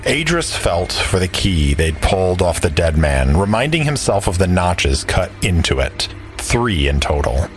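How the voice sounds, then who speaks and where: A man's voice: deep voice, sing-song, a little slow, soft background music. A man narrating an audio drama as a storyteller, reading scripted prose. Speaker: narrator and player.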